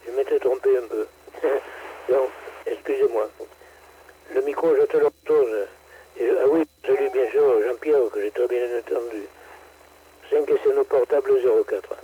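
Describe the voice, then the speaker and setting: A man, a ham radio operator, chatting slowly and gently on air. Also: mid-range voice, husky. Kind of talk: casual on-air radio chat. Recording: tinny radio transmission with faint noise.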